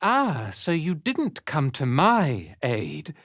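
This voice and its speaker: A man's voice: on the high side, wildly swooping pitch, slow pace, fairly bright tone, dry recording, studio quality, full character voice. A man playing an arrogant, cruel drow zealot commander in a fantasy video game, pompous and sneering with disdain. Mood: brisk disdain.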